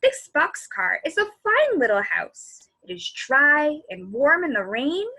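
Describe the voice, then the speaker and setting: Eager, resourceful young storybook girl played by a woman reader, very high and lively, emphatic delivery. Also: unhurried, exaggerated voice. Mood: eager, pleading. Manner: exclamatory, urgent, emphatic.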